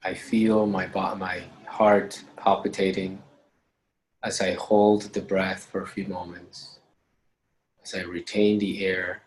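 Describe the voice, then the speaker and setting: A man leading a guided meditation as instructor, speaking calmly in short measured phrases with long pauses. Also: sits low, slight background noise, rough recording.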